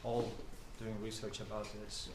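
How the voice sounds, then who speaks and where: A man from Buenos Aires with an Argentine Spanish accent, a journalist and professor giving an informal talk to a group, halting and full of restarts, often checking in with the room. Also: fairly low pitch, monotone. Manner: halting, many fillers and restarts, asks the room questions. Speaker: guest speaker, journalist and professor. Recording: echoey room, faint noise.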